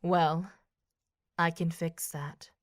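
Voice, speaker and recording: Scheming female villain acted by a woman, cool and combative, lower register, dry audio drama. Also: flat delivery, extremely slow, no room sound. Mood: calculating.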